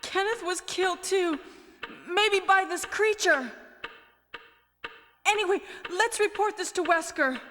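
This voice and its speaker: A woman's voice: very high-pitched, measured pace, long pauses, sharp tone, exaggerated voice. A woman playing an earnest, anxious young police officer in a 1990s video game, with stilted, wooden delivery. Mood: grave. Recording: reverberant game audio with music.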